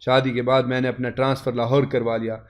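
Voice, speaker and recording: A man's voice: mid-range voice, level tone, fast talker. A man reading a scripted first-person story as its narrator, in a dramatic style.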